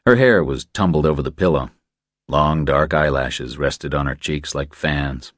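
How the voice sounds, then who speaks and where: A man's voice: extremely low pitch, measured pace, dry recording, studio quality. A man reading scripted thriller narration as an audiobook narrator.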